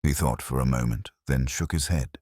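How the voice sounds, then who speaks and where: A man playing a weary, pensive detective inspector who narrates his case in a measured, literary, brooding way. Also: rumbling low, darkish tone, no echo, studio quality, pitched below the performer's own voice. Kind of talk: scripted first-person mystery narration.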